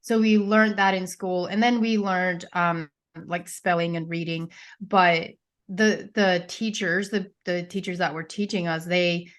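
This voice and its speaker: A woman's voice: fairly low pitch, steady tone, unhurried. A young woman with a Pennsylvania Dutch accent, her first language, telling her life story as a podcast guest in a chatty way, full of fillers and restarts. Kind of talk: casual interview storytelling.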